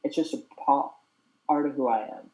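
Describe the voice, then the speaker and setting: Young guy who stutters, open and earnest, relaxed mellow voice. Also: mid-range voice, moderate pace, dull audio. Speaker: host. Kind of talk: casual chat.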